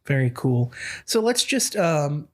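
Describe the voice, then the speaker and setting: Higher-voiced man hosting a podcast. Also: very animated, slow, a little mellow, slight background noise. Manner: friendly, easygoing host. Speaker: podcast host. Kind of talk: casual podcast chat.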